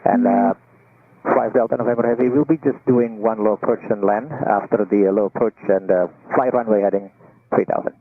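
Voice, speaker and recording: A man, a pilot, giving halting, hesitant readbacks over aviation radio. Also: lowish voice, steady tone, no room sound. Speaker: airline pilot. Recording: tinny narrowband cockpit radio transmission.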